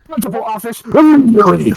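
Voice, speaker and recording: A man's voice: very high voice, lively intonation, fast talker, vocal fry, a crowd in the background, pitched above the performer's own voice, heavily acted. A man voicing a stern, outraged female fox schoolteacher in an amateur cartoon audio drama.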